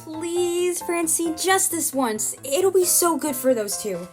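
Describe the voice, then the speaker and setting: Audio drama role: breezy, persuasive female matchmaker, acted by a woman, perky and smug, over clear music. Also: very high-pitched, lively intonation, takes it slowly, voice pushed up, exaggerated voice. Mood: pleading.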